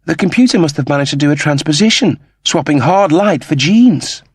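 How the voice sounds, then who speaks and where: A man acts out a fussy robot servant for a science fiction audiobook. The voice is lifted high and explains everything precisely and politely, in a dry, close recording. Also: expressive, fairly quick, slightly rough, no room sound. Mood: earnest, explanatory.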